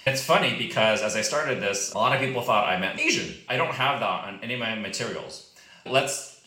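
Male podcast host, lowish voice with a forceful bright edge, eager, echoey room. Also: animated, brisk. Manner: eager, upbeat questioning. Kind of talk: casual podcast interview. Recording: roomy echo.

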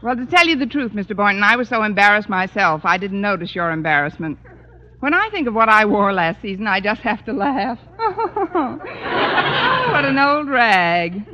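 An American actress playing a wry, wisecracking schoolteacher in 1950s radio comedy, delivering dry lines. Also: female voice, mid-range voice, sing-song, normal speed, natural voice. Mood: dry, teasing. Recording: muffled, very noisy old radio recording.

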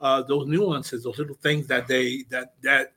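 A man's voice: medium pitch, flat delivery, measured pace, sharp tone, husky. Older man from New York, casual radio guest. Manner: short agreeing replies, searches for words.

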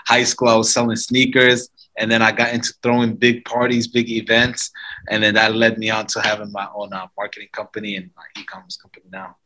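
A man from Somalia, a company co-founder and guest, telling his story in a relaxed way, full of "you know". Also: fairly low pitch, fairly quick, rough recording. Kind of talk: casual interview talk.

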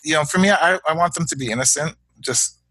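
A Canadian man and father of young children, talking as a guest in a reflective interview, measured and halting, often repeating words as he thinks. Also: on the high side, pushed and bright, husky, no echo.

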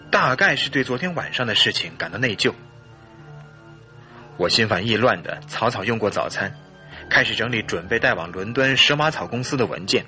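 Forceful, suspicious husband. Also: male voice, mid-range voice. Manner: forceful, accusing, dramatic. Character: suspicious, wounded husband, stern and hot-tempered. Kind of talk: old-fashioned radio drama acting.

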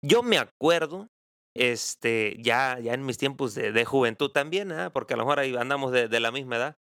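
A man's voice: medium pitch, lively intonation, normal speed, pushed and bright, clear, resonant tone, no room sound, clean studio sound. A man in his twenties with a Mexican accent, hosting a casual interview. He is chatty and teasing and uses slang. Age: 20s. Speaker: interviewer, host.

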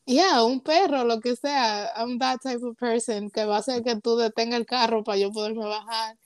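A woman's voice: fairly high pitch, moderate pace, dry recording. Young woman, dry close sound. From Puerto Rico. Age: young adult. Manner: relaxed, hesitant, mixes English words into her Spanish. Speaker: guest. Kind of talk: casual conversation.

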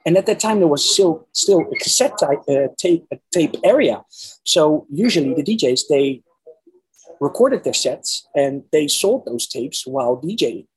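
A man's voice: a little high, expressive, measured pace, husky, rough recording. A man in his forties with a Dutch accent, speaking English as a second language, casually telling personal stories as an interview guest and often repeating words as he searches for them. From Netherlands. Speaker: interview guest recounting his musical past.

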